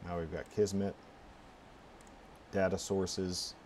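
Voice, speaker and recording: Low-voiced man thinking aloud. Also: little pitch movement, darkish tone, a little hiss or hum. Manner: halting, unscripted, muttering through steps. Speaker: tutorial presenter. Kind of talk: casual screencast walkthrough, thinking aloud.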